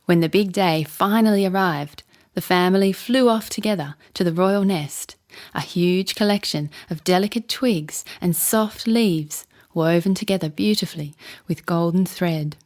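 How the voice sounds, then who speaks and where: A woman narrating a children's bedtime story in an expressive, measured storytelling manner. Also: fairly low pitch.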